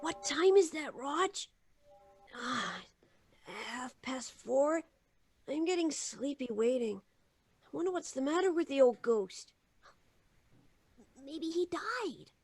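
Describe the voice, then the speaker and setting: A woman voicing an excitable, mischievous young American child in an old-time radio drama, breathless and eager. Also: high-pitched, takes it slowly, pauses often, exaggerated voice. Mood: sleepy, whispery. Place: small home recording booth. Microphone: close studio microphone.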